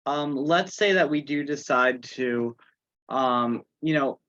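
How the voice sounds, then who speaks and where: A man making measured, tentative contributions to an informal technical teleconference. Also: medium pitch, fairly bright tone. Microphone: teleconference connection. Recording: dull lo-fi conference call.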